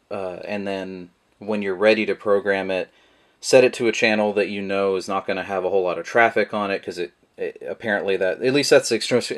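A man giving a casual, rambling how-to demonstration of a product, full of fillers, his voice picked up by the camera mic at a table indoors. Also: fairly low pitch. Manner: relaxed, rambling with many fillers. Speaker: product reviewer.

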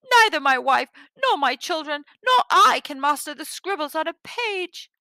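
A woman plays a poor village father in a folktale, humble and anxious. The voice is high and bright, with a respectful, pleading manner. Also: expressive, a little slow, no room sound, exaggerated voice. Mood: frightened, doubtful. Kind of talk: storybook character voice.

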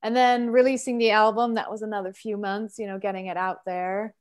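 A woman from Canada in her thirties tells her story on a video call from home. She talks expressively in a middle pitch, as a musician guest on an interview show. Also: level tone, brisk. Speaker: singer and sax player, guest. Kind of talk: casual interview chat. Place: home room, indoors.

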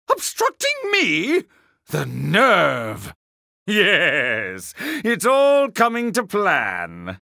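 A haughty, sneering villain sorcerer from a video game. His sharp, gravelly voice swings extravagantly up and down as he mocks his foes with theatrical relish. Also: sits high, dry recording, studio quality, full character voice. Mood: indignant, then smug. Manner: haughty, mocking, theatrical drawl. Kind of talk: video game battle voice lines.

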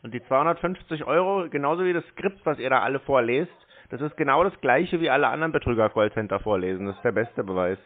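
A man's voice: medium pitch, lively intonation, fast talker, hardly any pauses. Blunt, needling man on a tinny phone line. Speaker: scam-baiting YouTuber taking calls from call centres. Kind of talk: casual confrontational phone chat. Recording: band-limited phone call audio.

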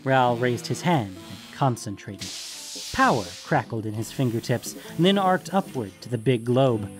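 A man's voice: medium pitch, lively intonation, normal speed, soft background music. A man reading scripted fantasy story narration as the narrator.

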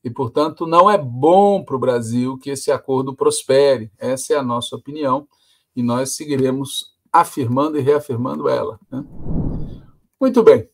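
A man's voice: mid-range voice, normal speed. Older man giving firm political commentary. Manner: firm, opinionated delivery. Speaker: host and commentator. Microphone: video call webcam.

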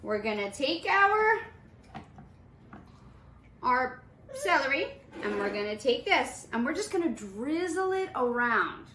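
A woman's voice: high voice, sing-song, slow pace, pauses often. A woman, giving a playful, sing-song cooking demonstration for young children. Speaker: preschool teacher hosting a children's cooking class. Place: small indoor playroom kitchen set. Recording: echoey room with some background noise.

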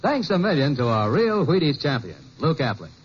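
A man's voice: a little high, very animated, moderate pace, husky. A man hosting a scripted sponsor interview with bantering 1950s radio delivery. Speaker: sponsor announcer interviewing a guest. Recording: muffled old radio recording.

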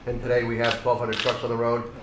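A man introducing himself as a company executive, earnest and slightly halting. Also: mid-range voice, completely flat delivery. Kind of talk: formal self-introduction. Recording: echoey room with noise.

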